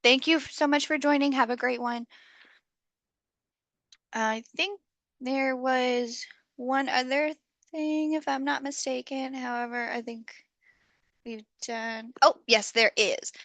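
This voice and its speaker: Slightly hoarse woman hosting a call. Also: sits high, fairly even intonation, slow, pauses often, fairly bright tone, dry recording. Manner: friendly facilitator, many okays. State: slightly hoarse, losing her voice. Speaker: meeting host. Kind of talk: online community call. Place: video call.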